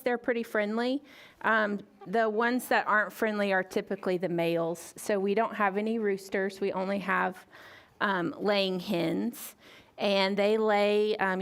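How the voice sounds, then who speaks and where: A woman giving an informal explanation to children as a guest speaker, in a casual way with frequent hesitant pauses. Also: medium pitch. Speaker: guest chicken keeper and parent. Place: library story time room.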